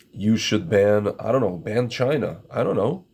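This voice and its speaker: A man's voice: fairly low pitch, vocal fry, darkish tone, slightly rough. A middle-aged man from Ukraine, a native Russian speaker, giving an opinionated monologue to his viewers, emphatic and repetitive, often calling them "my friends". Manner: emphatic, repeats phrases, addresses viewers as friends. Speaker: commentator.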